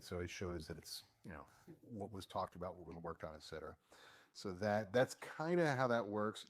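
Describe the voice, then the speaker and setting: A man presenting an informal software demo as an engineer, with a halting, hesitant delivery. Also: lowish voice. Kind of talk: informal tech demo walkthrough.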